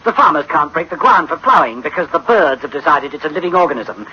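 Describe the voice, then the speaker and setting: An actor playing a grave, anxious engineer in a science fiction radio drama, with an emphatic theatrical delivery. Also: male voice, fairly high pitch, expressive, fast, slightly rough. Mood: urgent. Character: anxious, grave male engineer, dreading disaster. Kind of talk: dramatic sci-fi radio acting. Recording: dull, faintly noisy old radio recording.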